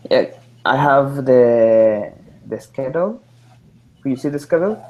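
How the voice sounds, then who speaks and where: A man's voice: medium pitch, lively intonation, a little slow, highs cut off. A man, presenting to an online study group, hesitant and full of filler sounds. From Mexico. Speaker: student presenter. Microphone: video call.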